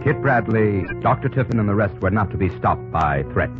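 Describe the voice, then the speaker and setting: A man's voice: on the low side, expressive, brisk. A man narrating a historical radio drama in a solemn, declamatory old-time style. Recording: muffled old radio broadcast over loud music. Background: loud dramatic music score.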